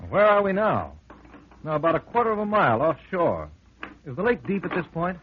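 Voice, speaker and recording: Composed, inquisitive male investigator, vintage radio drama; higher-set, animated delivery, probing and reassuring. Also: husky, heavily acted. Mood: brisk, curious. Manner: probing, measured questioning. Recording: tinny, narrow-band old radio recording.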